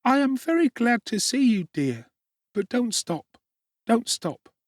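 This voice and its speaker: A male actor voicing a frail, dying little boy who speaks softly and weakly in an audiobook. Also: very high voice, big pitch swings, measured pace, no echo, clean studio sound, voice pushed up, full character voice. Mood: faint, tender.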